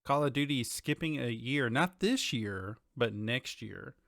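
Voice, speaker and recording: Man, mid-range pitch, casual podcast chat, joking co-host, dry recording. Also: animated, measured pace, gravelly, a bit bright, no echo.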